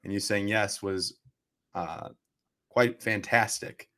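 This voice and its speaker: Host: man in his twenties, lowish voice, eager and a bit halting. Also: fairly even intonation, bright, forceful tone. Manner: eager, hesitant with fillers. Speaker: podcast host, student. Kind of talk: casual interview conversation.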